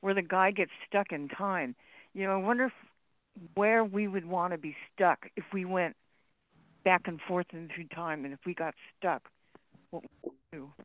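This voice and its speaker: High-voiced older man on a tinny line. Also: normal speed, pauses often, no echo. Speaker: discussion participant. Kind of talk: casual reminiscing chat. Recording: tinny dry phone-line audio.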